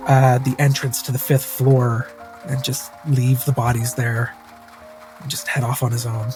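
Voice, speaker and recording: A man with an average-pitched voice describes his character's actions in a tabletop game. His phrasing is casual and hesitant, improvised as he goes, with faint music behind him. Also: little pitch movement, measured pace, a little mellow, low-quality recording. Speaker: player in a tabletop roleplaying game. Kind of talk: improvised third-person narration of his character.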